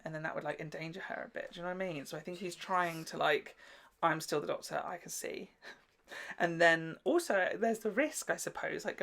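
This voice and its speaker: A man with a light, high-pitched voice and plenty of creak talks casually as a reaction show co-host. He explains and recaps in a chatty way, dropping in little imagined quotes. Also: a bit bright.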